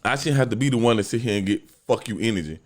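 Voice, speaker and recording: Young guy w a deep low voice ranting on his podcast, cussing, swings up n down a lot. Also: fast. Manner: blunt, profane, animated storytelling. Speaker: podcast host. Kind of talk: solo podcast rant.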